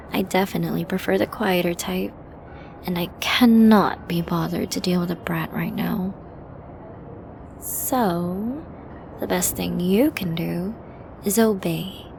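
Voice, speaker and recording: Woman acting a slow-talking, menacing, flirty villainess. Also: fairly low pitch, vocal fry, darkish tone, a little hiss or hum. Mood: commanding, teasing. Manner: teasing, menacing, slow and often whispering. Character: flirty, dominant female villain taunting a hostage. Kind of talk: ASMR roleplay audio drama.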